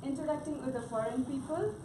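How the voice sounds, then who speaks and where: A woman's voice: high voice, fast. Nepali-accented young woman doing a class talk, lots of uhs and restarts, noisy far-off recording. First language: Gurung. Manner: hesitant, many fillers and restarts. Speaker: student presenter. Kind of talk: class presentation. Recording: echoey room from far off, noisy.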